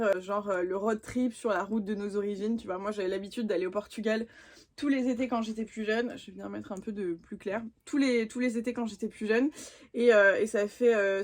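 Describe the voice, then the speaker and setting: A woman's voice: fairly high pitch, steady tone, a little fast. A young adult woman from France, chatting informally and using slang in a casual makeup vlog. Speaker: vlogger.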